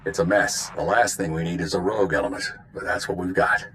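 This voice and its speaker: An actor playing a stern, world-weary spymaster who briefs agents in a clipped, dry, authoritative way in a video game. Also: male voice, low voice, brisk, fairly bright tone, slight background noise. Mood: stern, wry. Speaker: intelligence commander giving a mission briefing.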